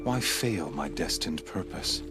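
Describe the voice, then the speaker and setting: A man's voice: very deep voice, lively intonation, measured pace. An actor playing a zealous, cold-blooded warrior villain in a video game, speaking in grandiose, menacing oratory with grim certainty of his destiny. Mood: grim brooding. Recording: music under the voice.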